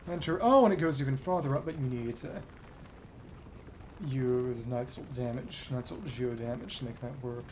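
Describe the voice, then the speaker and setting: A man giving casual gameplay commentary as a streamer, thinking aloud in short, halting fragments. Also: medium pitch, a little mellow, a little hiss or hum. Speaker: game streamer. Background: video game music.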